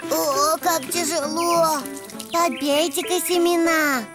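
A woman's voice: extremely high pitch, steady tone, slow pace, loud music behind the voice. A woman voicing an eager, kind little boy donkey in a children's cartoon dub, with a childlike, exclamatory manner. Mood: busy, eager. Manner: bright-eyed, childlike, exclamatory.

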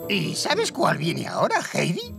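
A man voicing a fussy, pompous, excitable elderly tutor in a cartoon. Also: a little high, unhurried, creaky, music in the background, exaggerated voice. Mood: instructive. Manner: formal, pompous, precise. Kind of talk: animated series acting.

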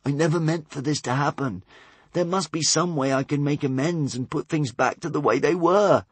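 A man's voice: fairly high pitch, moderate pace, slightly rough, dry recording, pitched above the performer's own voice. A man voicing a proud, distraught young wizard boy in a storybook, impassioned and defiant. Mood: wretched.

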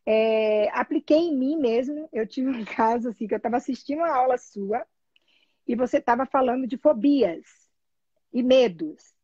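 A middle-aged Brazilian woman telling personal stories casually, in an animated, emphatic, chatty way over a video call. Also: a little high, moderate pace, husky, no echo. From Salvador, Brazil. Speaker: guest, therapy trainee telling her experience. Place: small room at home, indoors. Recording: dull, lo-fi video call audio.

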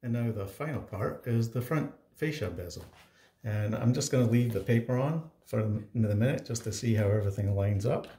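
A man giving a casual how-to narration of a home installation, explaining step by step with halting pauses mid-sentence. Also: on the low side, dark tone, slight background noise. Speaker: DIY home installer presenting his project. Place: indoors in a home.

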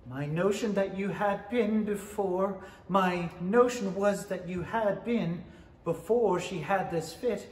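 A man's voice: high-pitched, darkish tone. A middle-aged man playing a nervous, deferential rabbit herald in a 1930s-style radio play, hesitant and stammering. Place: indoor stage in a small theatre. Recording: roomy, echoing stage pickup.

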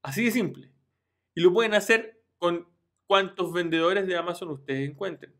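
A man's voice: sits high, normal speed, a bit bright. Man explaining a screen tutorial. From Chile. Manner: informal, addresses viewers directly, frequent "ya" and restarts. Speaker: online business youtuber.

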